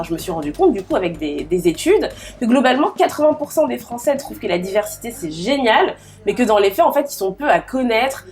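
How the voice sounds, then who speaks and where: A woman's voice: a little high, a little mellow. A woman in her thirties from the Paris region, a guest in an interview who talks casually with frequent fillers. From Paris region, France. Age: 30s. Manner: chatty, with many fillers and restarts. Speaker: guest entrepreneur.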